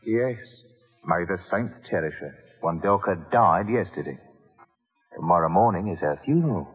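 An actor playing a roguish, mercenary professor in an old radio drama, with florid, mock-humble charm. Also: male voice, deep voice, lively intonation, normal speed, breathy edge. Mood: mournful, effusive. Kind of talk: 1940s-50s radio drama acting. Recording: tinny old radio recording, reverberant, music behind.

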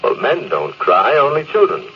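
A man's voice: medium pitch, very animated, normal speed. An actor playing a proud, ambitious male space officer in a stiff, self-important 1950s radio drama style. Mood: defensive. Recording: muffled noisy vintage radio recording.

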